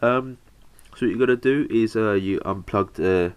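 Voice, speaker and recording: Lowish man with a cold. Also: clean tone, no echo. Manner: halting, many fillers, talks while working. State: has a cold, slightly bunged up. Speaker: PC hobbyist showing a hardware install. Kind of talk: casual how-to narration.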